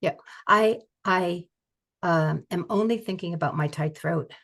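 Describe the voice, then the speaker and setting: An older woman leading a guided tapping session over a video call, speaking slowly and calmly with phrases for her client to repeat. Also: lowish voice, vocal fry. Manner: slow, calm, repeats phrases for client to echo. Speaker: EFT tapping practitioner. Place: home office.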